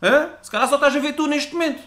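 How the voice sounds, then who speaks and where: A man's voice: extremely high pitch, quick pace, a bit bright. A man from Portugal with a European Portuguese accent, a podcast guest giving a rambling, sarcastic rant. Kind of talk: ranting monologue.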